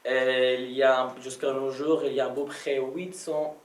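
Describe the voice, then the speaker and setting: A young man from Palestine speaking French, giving a personal testimony as a guest speaker, often pausing and restarting his phrases. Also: medium pitch, flat delivery. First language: Arabic. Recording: roomy, distant mic, faint background ambience.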